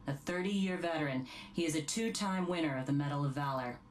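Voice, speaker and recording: A woman's voice: deep voice, a little fast, a little hiss or hum, lightly acted, rough recording. A woman playing an authoritative, formal American task force chief in a video game briefing. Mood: brisk, formal. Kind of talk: video game cutscene acting.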